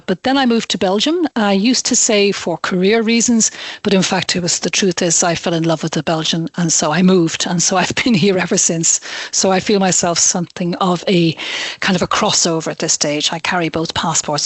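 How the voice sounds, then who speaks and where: An Irish woman in her sixties, a guest telling her story in a chatty, relaxed radio interview. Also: on the low side, normal speed, no room sound. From Ireland. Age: 60s. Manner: chatty, laughs now and then while recounting.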